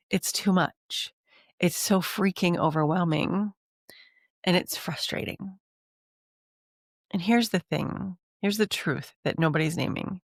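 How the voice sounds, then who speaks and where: A woman's voice: deep voice, gravelly, dry recording, clean studio sound. A woman hosting a coaching podcast, talking earnestly through a cold, with a congested voice. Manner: earnest, encouraging, repeats lists for emphasis. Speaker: podcast host. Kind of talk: coaching monologue.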